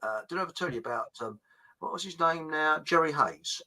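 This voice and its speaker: A middle-aged man chats casually, laughing often as he rambles through stories. His voice is very bright and forward, and his pitch swings widely. He swears lightly and keeps the tone conversational. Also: animated, brisk. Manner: rambling anecdotes, frequent laughs, mild swearing. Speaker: podcast host. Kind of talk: casual storytelling chat.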